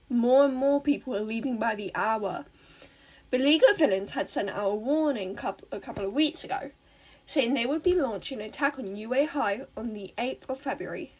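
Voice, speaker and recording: High, breathy woman narrator. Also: poor audio. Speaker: fan fiction reader, narrator. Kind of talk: scripted story narration.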